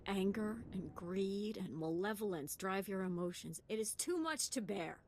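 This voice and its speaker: A woman's voice: mid-range voice, lively intonation, moderate pace, slight background noise, natural voice. An actress playing an intense, idealistic young female Jedi apprentice in a video game, with a troubled, earnest and emotional delivery. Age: young adult.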